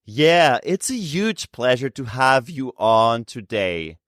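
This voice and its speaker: A man hosting an interview podcast, asking deliberate questions. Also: medium pitch, sing-song, fairly bright tone, no echo, studio quality. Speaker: podcast host.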